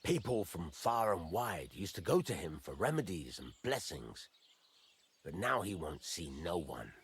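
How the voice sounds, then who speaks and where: An actor playing a gruff, fatherly middle-aged master blacksmith in a medieval video game, blunt and folksy, teasing as he talks. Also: sits low, big pitch swings, a little slow, heavily acted. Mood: confiding. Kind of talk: video game dialogue acting.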